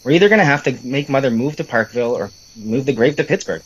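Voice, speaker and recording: A man's voice: mid-range voice, expressive, quick pace, lo-fi sound, slight background noise, lightly acted. A man playing a grumbling, teasing brother in an audio drama, sarcastic and irreverent. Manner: sarcastic, complaining.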